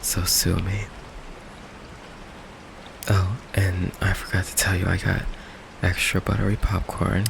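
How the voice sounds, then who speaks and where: A man playing an affectionate but evasive goth vampire boyfriend in an ASMR roleplay, talking haltingly with nervous stammers. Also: low voice, monotone, creaky, background noise, exaggerated voice. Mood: affectionate, playful. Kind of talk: ASMR roleplay, intimate one-sided conversation. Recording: rain ambience behind close voice.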